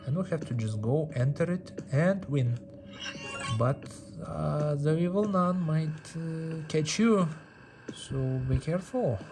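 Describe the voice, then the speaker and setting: Slow-paced man over loud game music. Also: a little high, lively intonation. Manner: repeats short phrases, chatty. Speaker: gaming video host. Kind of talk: casual gameplay commentary.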